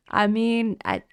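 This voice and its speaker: A woman's voice: fairly high pitch, vocal fry, smooth, dry recording, studio quality. A woman livestreaming a game playthrough, chatting casually and informally in a giggly manner. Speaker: game streamer.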